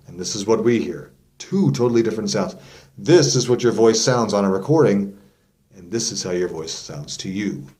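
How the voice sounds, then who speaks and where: A man's voice: medium pitch, darkish tone. A man speaking as a vocal coach, giving a casual, step-by-step explanation in an informal, demonstrative way. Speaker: vocal coach hosting an instructional video. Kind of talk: casual explanatory lesson to camera.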